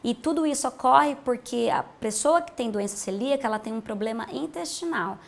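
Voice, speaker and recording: A woman's voice: fairly high pitch, lively intonation, a little fast, gravelly. A woman in her thirties with a Brazilian accent, a nutritionist explaining diet in a TV health interview, patient and plain-spoken, on a lapel mic. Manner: explanatory, patient, uses simple comparisons. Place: bright room with large windows.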